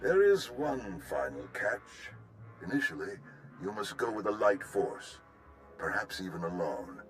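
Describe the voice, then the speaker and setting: Earnest low-voiced general, expressive. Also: male voice, faint music behind. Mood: grave, cordial. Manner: formal, measured. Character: earnest male Republic general pleading for help. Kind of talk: video game cutscene acting.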